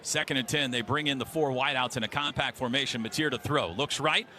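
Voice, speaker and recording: A man's voice: medium pitch, brisk, bright, forceful tone. A man with an American accent calling football play-by-play on radio in clipped short phrases. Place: stadium broadcast booth. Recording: live radio sportscast with faint noise.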